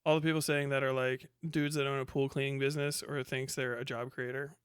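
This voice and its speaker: A man livestreaming casual, profane commentary and reacting to chat, animated and sarcastic as he slips into imagined quotes. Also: mid-range voice, a little fast, clear, resonant tone, no room sound, studio quality. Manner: profane, slangy. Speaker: live streamer.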